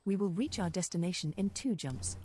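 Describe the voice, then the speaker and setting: Woman as a lowish-voiced, composed ship computer. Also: a little fast, dark tone, slightly rough, dry recording. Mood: composed, informative. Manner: precise, formal, informative. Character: composed female spaceship computer co-pilot. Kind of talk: scripted status announcements. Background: music behind the voice.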